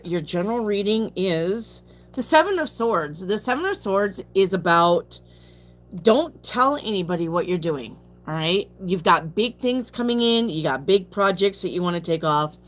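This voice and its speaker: A woman giving a live tarot reading, slow and chatty, drifting into asides between cards. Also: medium pitch. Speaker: tarot reader. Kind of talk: live-stream card reading and chat.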